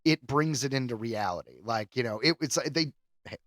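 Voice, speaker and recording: A man co-hosting a podcast, chatting casually in a rambling, halting way. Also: medium pitch, fairly bright tone, dry recording, clean studio sound. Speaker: podcast co-host. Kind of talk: casual chat.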